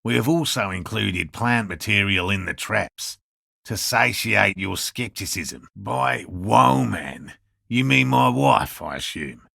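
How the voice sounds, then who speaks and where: Gravelly, earnest old cryptozoologist. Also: male voice, lowish voice, animated, slow pace, sharp tone, dry recording, studio quality, full character voice. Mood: defensive, determined. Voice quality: gravelly, raspy. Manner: pedantic, formal, earnest. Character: earnest, elderly male cryptozoologist, stubbornly hopeful, scholarly, devoted husband. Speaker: video game character. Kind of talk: video game character dialogue.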